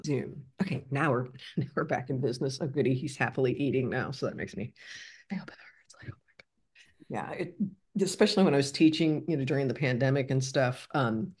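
A woman's voice: very deep voice, fairly quick, a little mellow, breathy edge. Easygoing woman in her sixties. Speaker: podcast host, interviewer. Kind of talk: casual interview chat.

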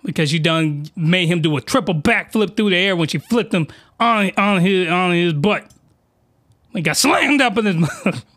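Excitable man, high bright voice. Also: moderate pace. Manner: rambling, excitable, mock-outraged with voice cracks. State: losing his voice. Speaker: podcast host. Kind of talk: comedic anime recap.

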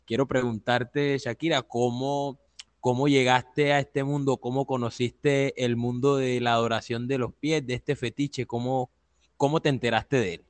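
A man's voice: mid-range voice, fairly even intonation, measured pace, clear, resonant tone, no room sound. A man hosting an interview, speaking politely and wordily and repeating his phrases. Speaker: interview host.

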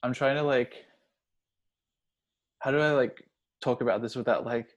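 A man's voice: mid-range voice, steady tone, normal speed, muffled recording. A young adult man talking casually and personally, hesitant and rambling, leaning heavily on filler words. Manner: hesitant, rambling, heavy use of "like".

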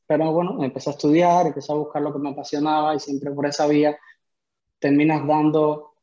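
A man's voice: fairly high pitch, level tone, fairly quick, warm tone. A man in his thirties from Cuba, telling his story as a podcast guest in a conversational way. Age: 30s. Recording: slightly dull, lo-fi audio.

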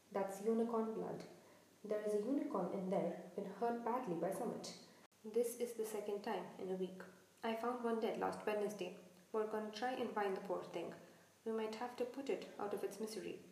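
A woman voicing a gruff, fierce but kindly giant male gamekeeper in a storybook, growling blunt commands in rustic dialect. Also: mid-range voice, moderate pace, warm tone, lightly acted. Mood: grave, instructing. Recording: echoey room, distant from mic.

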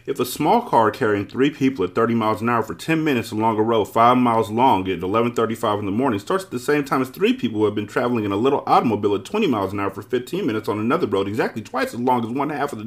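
A man voicing a pompous, excitable storybook creature with many faces, speaking in a precise, scolding, emphatic manner. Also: mid-range voice, natural voice.

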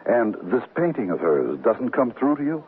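An actor playing a suave, possessive former suitor in a 1970s radio drama, insinuating and pausing as he talks. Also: mid-range voice, sing-song, close to an everyday voice. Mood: needling, curious. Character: Tom, smooth, possessive old flame of a married woman. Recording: muffled, noisy old radio broadcast.

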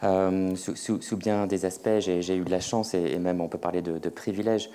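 Deep, mellow male interpreter. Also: steady tone, a little fast, smooth. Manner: repeats words while rendering speech on the fly. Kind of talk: consecutive interpreting.

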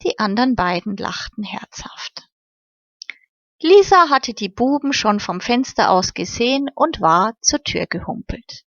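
A woman narrating a children's story in a slow, measured way. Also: on the high side, expressive, a bit bright, no echo. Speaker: audiobook narrator. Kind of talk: scripted fairy-tale narration. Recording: slightly muffled, dry recording.

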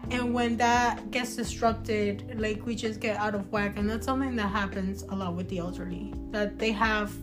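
A young woman from Cuba, a guest on a casual podcast, relaxed and giggly, with frequent hesitations. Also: medium pitch, normal speed, few pauses. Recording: guitar music in background.

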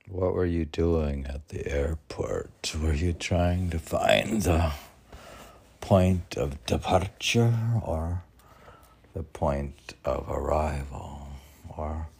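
A man's voice: rumbling low, creaky, darkish tone, husky. A man reading his own poetry, drifting into musing, meandering asides as if talking to himself. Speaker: poet reading own work.

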